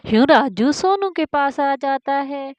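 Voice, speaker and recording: High-voiced, slow woman narrator. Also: lively intonation, darkish tone, dry recording, highs cut off. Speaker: cartoon narrator. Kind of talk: scripted children's story narration. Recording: music behind the voice.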